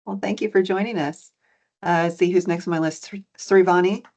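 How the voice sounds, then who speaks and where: A woman's voice: on the low side, fairly even intonation, normal speed, a little mellow, highs cut off. A woman moderating an online student panel as program director, welcoming and methodical, with frequent fillers. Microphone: video call.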